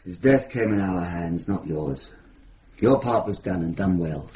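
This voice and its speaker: A low male voice as a medieval deputy sheriff in a radio drama, frank and practical. His delivery is direct and matter-of-fact, with clear noise on the recording. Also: expressive, a little slow, mellow, poor audio. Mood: businesslike.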